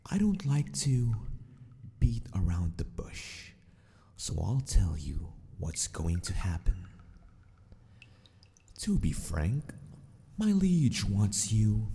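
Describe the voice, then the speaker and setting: A man acting a sadistic, possessive mad scientist in an ASMR roleplay, speaking with formal, mock-polite theatricality and often slipping toward a breathy whisper. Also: fairly low pitch, mellow, full character voice. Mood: coldly menacing. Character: sadistic, possessive male mad scientist of an empire, mockingly courteous. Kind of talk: ASMR villain roleplay monologue.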